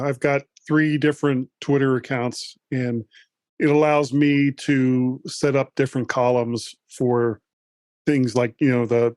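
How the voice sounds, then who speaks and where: A man speaking as a guest on a casual panel, explaining his setup in a brisk, matter-of-fact way. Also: mid-range voice, darkish tone, dry recording, clean studio sound.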